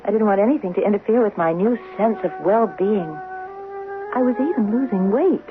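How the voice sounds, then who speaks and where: A woman's voice: mid-range voice, lively intonation, normal speed, music in the background, lightly acted. An actress playing an anxious, trusting young wife in her late twenties in a 1970s radio drama, in dialogue and first-person narration. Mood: relieved, grateful. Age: late 20s. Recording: muffled narrow-band vintage radio, faint hiss.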